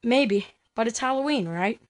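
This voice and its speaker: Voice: medium pitch, lively intonation, moderate pace, close to an everyday voice. A young performer playing a skeptical, casual ten-year-old boy in an amateur audio drama. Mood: doubtful.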